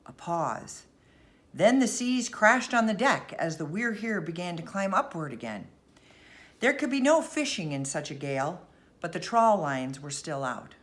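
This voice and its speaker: A woman reading a bedtime story aloud as narrator, expressive and deliberate. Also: lowish voice.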